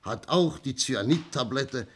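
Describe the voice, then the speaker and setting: A man acting a shrewd, self-assured police inspector in a 1960s German radio play, explaining deliberately and emphatically. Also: mid-range voice, animated, a bit bright, breathy edge. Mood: confident.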